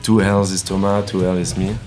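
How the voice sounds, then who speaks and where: A young man talks casually in an interview. His voice sits very low, and faint music plays behind him. He comes across as a musician chatting about his work. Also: level tone, fairly quick, a little mellow. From south of France. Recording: music playing behind the talk.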